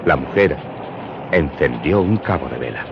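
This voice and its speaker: Storyteller: low male eyewitness narrator for a dark radio drama, dull noisy recording. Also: lively intonation, measured pace, heavily acted. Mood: grave narration. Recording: dull, clearly noisy audio.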